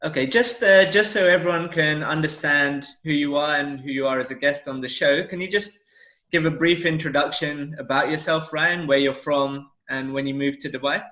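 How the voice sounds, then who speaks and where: A man's voice: a little high, steady tone, normal speed, bright, forceful tone. A young British man hosting a casual interview podcast over a video call. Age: young adult. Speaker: podcast host. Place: room at home, indoors. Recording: roomy, dull video-call audio.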